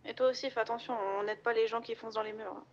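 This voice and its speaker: A woman with a fairly high, flat-toned voice talks quickly and casually in a role-play game. The sound is dull and lo-fi, like voice chat.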